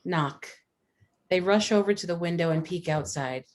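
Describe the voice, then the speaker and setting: Low-voiced woman narrator. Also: moderate pace, a little mellow. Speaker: stage-direction narrator. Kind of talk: scripted narration.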